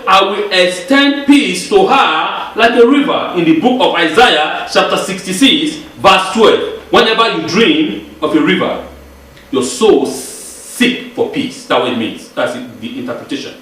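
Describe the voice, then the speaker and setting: Animated high-voiced man preaching in an echoey room. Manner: animated, repeats words, acts out little voices. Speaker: congregation teacher. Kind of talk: Torah portion sermon. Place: congregation hall. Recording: echoey room, mic far off.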